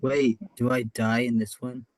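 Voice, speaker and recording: Naive, bewildered young male amateur, hesitant, middling pitch, radio comedy; male actor. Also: level tone, measured pace, a little mellow, no room sound, close to an everyday voice. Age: older. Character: confused, socially awkward young man, forgetful actor.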